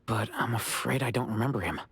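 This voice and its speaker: A helpful anime game attendant. The voice is husky and mid-pitched, explaining things plainly in a dry, close recording. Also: male voice, fairly quick, fairly bright tone, no echo, full character voice. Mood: apologetic, matter-of-fact. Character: helpful, matter-of-fact male shop attendant.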